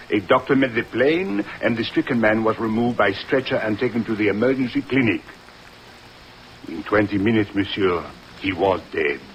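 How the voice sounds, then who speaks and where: An actor playing a courtly, excitable French police inspector with a French accent and fussy, polite speech in an old radio drama. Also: male voice, fairly low pitch. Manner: fussy, polite, repeats himself. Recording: dull vintage radio recording, hissy.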